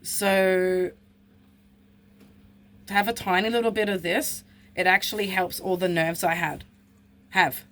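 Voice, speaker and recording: A woman's voice: mid-range voice, fairly even intonation, a little slow, pauses often, fairly bright tone. A woman, talking bluntly and with frustration in a personal vlog. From Australia. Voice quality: husky. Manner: blunt, frustrated, swears freely. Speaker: vlogger.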